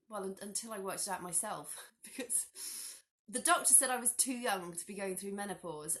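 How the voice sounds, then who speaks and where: A woman, a little hoarse from a cold, talking casually to a phone camera in her living room, chatty and animated, often restarting her sentences. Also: fairly high pitch. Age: 40s. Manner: chatty, animated hand gestures, frequent restarts. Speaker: health vlogger. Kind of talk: casual explanatory talk to camera. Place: living room at home, indoors.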